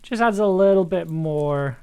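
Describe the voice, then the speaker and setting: A man's voice: high-pitched, unhurried, dark tone, clear, resonant tone. A man in his thirties thinking aloud in casual, chatty live commentary as he edits audio on a stream. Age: 30s. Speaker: streamer and audio drama editor.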